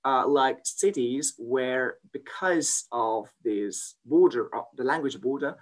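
A man's voice: medium pitch, slow pace. A young Belgian man with a French accent, a native French speaker, chatting as an interview guest with many fillers and restarts. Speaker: guest, polyglot and language teacher. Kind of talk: casual interview conversation.

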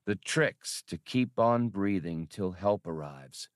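A man's voice: deep voice, expressive, takes it slowly, no room sound, clean studio sound. A man voicing a brash, sardonic male space rogue in a wry, sarcastic manner.

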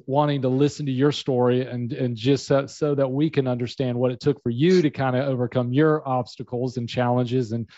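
A man's voice: mid-range voice, a little mellow, no room sound. A male host who asks long, meandering questions padded with ums and uhs. His voice comes through dry, close and muffled on a video call. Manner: rambling questions full of fillers. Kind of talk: casual interview over a video call. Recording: dull lo-fi video call audio.

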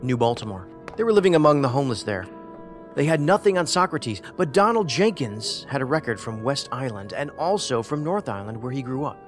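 An actor playing a cerebral, pedantic investigator in an audio drama, speaking in a measured, analytical way. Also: male voice, mid-range voice, sing-song, lightly acted. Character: cerebral, musing male investigator. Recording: faint music behind the voice.

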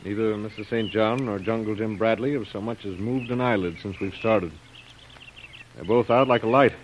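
Man acting an anxious earnest expedition aide, lowish voice, careful plain delivery, muffled old radio drama. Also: moderate pace, a little hiss or hum, lightly acted. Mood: worried. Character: worried, earnest male aide tending the sick on a trek. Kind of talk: 1930s radio adventure acting.

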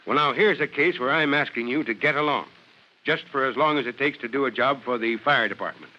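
An actor playing an authoritative, blustery middle-aged fire chief with an American accent in a vintage radio drama. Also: male voice, medium pitch, brisk, sharp tone. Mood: firm, instructing. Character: gruff, commanding middle-aged male fire chief, fatherly. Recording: muffled old radio show recording.

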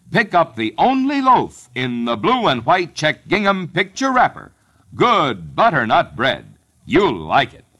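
A man's voice: medium pitch, a bit bright. A man reading an old-time radio advertisement as announcer, with an emphatic sales delivery. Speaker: commercial announcer.

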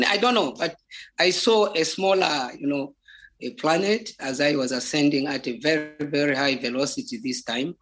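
A native Bemba speaker with a Zambian accent giving a personal spiritual testimony in a halting, deliberate way, repeating words for emphasis. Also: medium pitch, fairly bright tone, poor audio.

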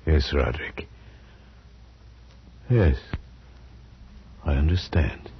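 Very deep, dread-filled horror narrator. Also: male voice, a little hiss or hum, heavily acted. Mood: grave resignation. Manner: solemn, measured, ominous dramatic delivery. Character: grave, dread-filled male narrator, loyal friend of the madman. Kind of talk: 1940s radio horror narration and acting. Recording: muffled 1940s radio broadcast with music.

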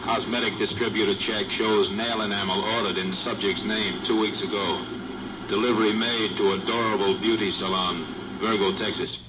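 An impersonal police dispatcher, acted by a man, fairly low in pitch. He reads out messages in clipped, official fashion, as in old radio drama. The recording is muffled and extremely noisy. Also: fairly even intonation, moderate pace, natural voice. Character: brisk, formal male police radio dispatcher. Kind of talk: 1950s radio drama acting.

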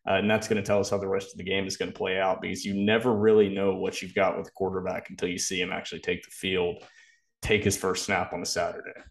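A man's voice: low-pitched, monotone, fast talker. A man co-hosting a sports podcast, giving casual analysis in a conversational way.

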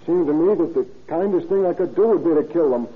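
An actor playing a young murderer confessing to police, matter-of-fact and self-justifying, in a 1930s radio drama style. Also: male voice, on the high side, fast talker, natural voice. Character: young male murderer calmly rationalizing his confession to police. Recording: tinny, noisy 1930s radio recording.

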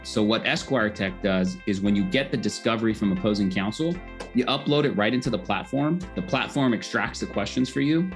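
American guy from socal chatting as a podcast guest, lowish voice, faint music behind. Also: fairly quick. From Southern California, United States. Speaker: interview guest, lawyer and tech CEO. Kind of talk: casual interview storytelling.

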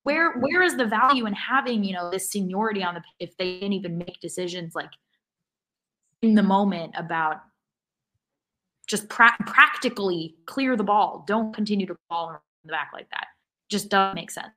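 A woman gives casual sports analysis as a podcast co-host. Her pitch is average, and she speaks in halting phrases with an exasperated manner. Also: bright, forceful tone, low-quality recording. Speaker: soccer fan podcast co-host.

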